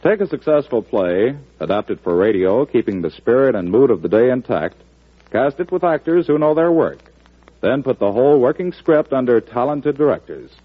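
American guy doing old 1940s radio announcer copy, polished and measured, tinny narrow recording. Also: mid-range voice, very animated, husky.

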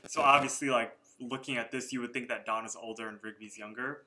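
A man's voice: medium pitch, steady tone, brisk, bright, forceful tone, low-quality recording. A young man co-hosting a podcast, chatting casually about a cartoon with lots of "like" fillers. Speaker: podcast co-host. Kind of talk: casual chat recapping a cartoon.

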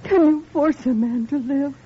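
An actress playing an anxious, tearful woman in an old radio drama, halting and emotional. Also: high-pitched, dark tone, natural voice. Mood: distraught. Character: anxious, tearful woman, patient's distraught loved one. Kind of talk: 1940s-50s radio drama acting. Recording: dull, noisy old radio recording.

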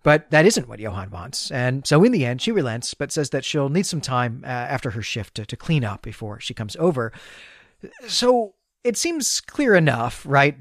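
A man's voice: mid-range voice, no echo. A man with a mid-range voice speaks as a podcast co-host. He recaps and comments on fiction in a chatty, conversational way, often checking in with small tag questions. The sound is close and dry.

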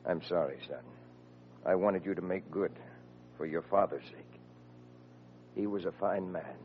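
An actor playing a firm, fatherly police lieutenant in an old radio comedy, authoritative and measured. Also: sits low. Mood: regretful. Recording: muffled vintage radio with faint hum.

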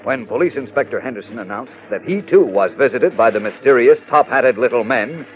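A man's voice: medium pitch, expressive, brisk, phone-quality sound, soft background music. A man announcing and narrating a serial in dramatic, scripted 1940s radio style. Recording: thin, band-limited vintage radio recording.

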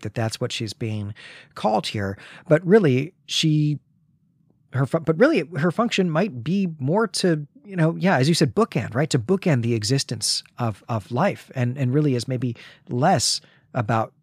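A man's voice: mid-range voice, husky, no room sound, studio quality. A man co-hosting a literary podcast, explaining and analysing in a conversational way, often checking his point as he goes. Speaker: podcast co-host. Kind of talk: conversational literary discussion of a comic.

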